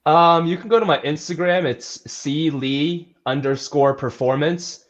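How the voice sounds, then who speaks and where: A man's voice: a little high, unhurried. A man speaking as a trainer and coach in a casual interview, in an earnest, conversational way. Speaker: athletic trainer and strength coach being interviewed.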